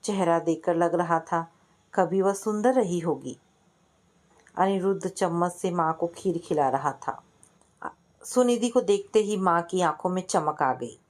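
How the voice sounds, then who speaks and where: A woman's voice: on the low side, unhurried, a little mellow. A woman reading a scripted family story as a storyteller, acting out its dialogue.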